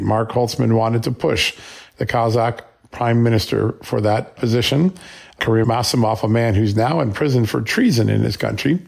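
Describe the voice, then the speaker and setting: A man's voice: medium pitch, creaky, darkish tone. A man hosting a political news commentary show, sounding slightly scratchy from a flu and making his points emphatically with short punchy questions.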